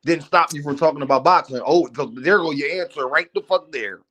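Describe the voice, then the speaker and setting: A man's voice: fairly high pitch, brisk, pushed and bright, slight background noise. A man hosting a casual boxing panel stream, talking emphatically and cursing freely. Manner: emphatic, swears freely, repeats names.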